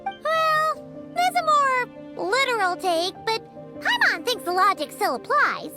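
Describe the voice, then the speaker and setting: A woman voicing a tiny, excitable fairy companion in a video game, squeaky, childlike, blunt and curious. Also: slow pace, soft background music, exaggerated voice. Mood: sheepish explaining. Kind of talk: animated video game dialogue.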